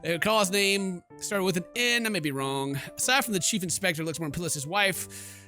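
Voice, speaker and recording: A man's voice: high-pitched, lively intonation, normal speed, bright, forceful tone, faint music behind. A man doing jokey, exclamatory, slangy commentary on a horror game while reading its dialogue aloud. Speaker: let's player.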